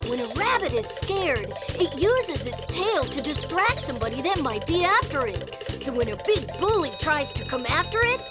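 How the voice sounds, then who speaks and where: A woman's voice: extremely high pitch, full character voice. A woman voicing a young cartoon rabbit who talks earnestly and wonders aloud, with background music. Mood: thoughtful. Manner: earnest, wondering, a bit self-doubting. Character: young rabbit with a bright cotton tail.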